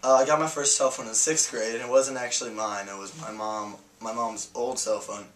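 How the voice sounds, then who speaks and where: An eighteen-year-old man, a college freshman, answering interview questions in a relaxed, hesitant way. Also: medium pitch, fairly quick, slight background noise. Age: teens.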